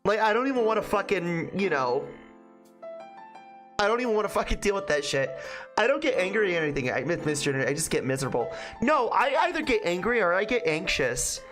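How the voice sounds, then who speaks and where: A woman's voice: lowish voice, sing-song, a little fast. A woman streamer giving casual commentary during a game playthrough, speaking candidly and informally and swearing freely. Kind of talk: casual playthrough commentary, reading visual novel dialogue aloud. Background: music.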